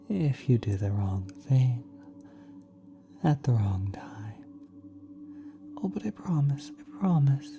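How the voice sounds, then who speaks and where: A woman voicing a kind, nurturing celestial being in a soft, breathy, often whispered ASMR roleplay, gently reassuring the listener. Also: extremely low pitch, slight background noise, full character voice. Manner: gentle, reassuring, hesitant, coaxing. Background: spacey ambient music.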